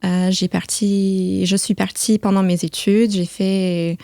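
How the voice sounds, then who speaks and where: American-accented woman with a slightly creaky voice. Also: mid-range voice, flat delivery, takes it slowly, mellow, no echo. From United States (Missouri). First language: English. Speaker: podcast host. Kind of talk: casual conversational podcast.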